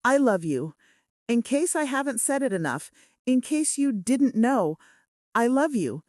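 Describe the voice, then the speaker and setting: A woman's voice: a little high, very animated, unhurried, darkish tone, dry recording, clean studio sound. A woman voicing an earnest, devoted male mafia boss in love in a romance audiobook. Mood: tender, insistent.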